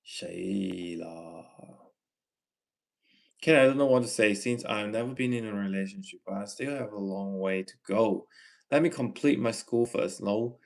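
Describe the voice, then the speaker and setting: A young man from Malaysia hosting a casual livestream, reading viewer comments and giving earnest advice in a slow, halting way. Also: on the low side, creaky. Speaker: livestream host.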